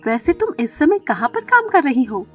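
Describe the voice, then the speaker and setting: A woman's voice: sits high, very animated, moderate pace, faint music behind. A woman voicing a caring, worried elderly mother bird in a children's cartoon story, with a fussing motherly manner.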